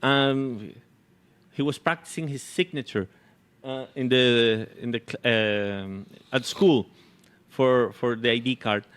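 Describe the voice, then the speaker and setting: This is a man, a Spanish speaker, in casual podcast conversation. He talks slowly at a medium male pitch. His delivery is halting, and he often repeats a phrase while hunting for the next word. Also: fairly bright tone, smooth. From Venezuela. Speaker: podcast co-host. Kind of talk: casual anecdotal chat.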